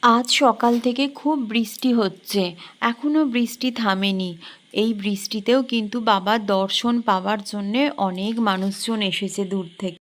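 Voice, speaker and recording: A woman, narrating a casual vlog and chatting to viewers as friends. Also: medium pitch. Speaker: vlogger.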